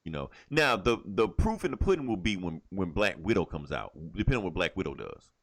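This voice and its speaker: A man's voice: deep voice, very animated, fairly quick. A man talking casually and emphatically as a podcast co-host, giving opinions and repeating phrases to drive his points home. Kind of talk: casual opinionated pop culture podcast talk.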